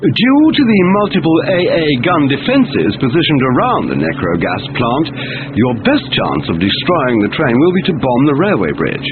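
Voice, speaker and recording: A commanding military briefing officer, heard through a tinny radio band with faint noise. His highish voice swoops dramatically as he gives formal, emphatic orders in a war game. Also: huge pitch swings, moderate pace. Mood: commanding, urgent. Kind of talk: scripted military mission briefing.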